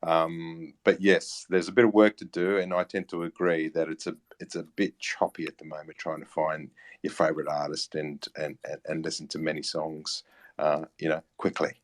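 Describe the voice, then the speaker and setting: Male guest, low voice, little intonation, casual chat, very dry sound. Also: flat delivery, moderate pace, no echo. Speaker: interview guest.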